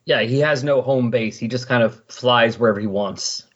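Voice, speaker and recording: Husky-voiced man chatting on a podcast. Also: mid-range voice, moderate pace, a bit bright. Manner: rambling, self-correcting recap. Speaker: podcast host. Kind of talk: casual chat. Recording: dull, lo-fi sound.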